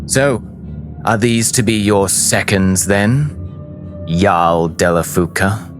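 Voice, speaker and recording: A man's voice: deep voice, takes it slowly, soft background music, voice pushed up. A man voicing a stern, ceremonious female ship official from a sea-turtle people in a fantasy tabletop game. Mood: stern, formal.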